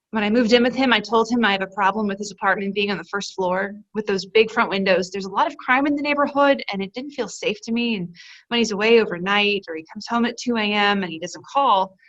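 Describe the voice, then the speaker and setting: A woman playing a distraught young woman in a play reading, talking in halting, emotional bursts. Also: mid-range voice, fairly bright tone, lo-fi sound, close to an everyday voice. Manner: emotional, halting, self-blaming. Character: distraught young woman, shaken after a breakup.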